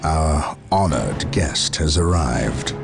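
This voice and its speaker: An actor playing a stern, resolute Space Marine captain in a video game, with a gravelly, authoritative, solemn delivery. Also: male voice, a bass voice, expressive, slow, music in the background, heavily acted. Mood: stern command. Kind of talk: video game cutscene acting.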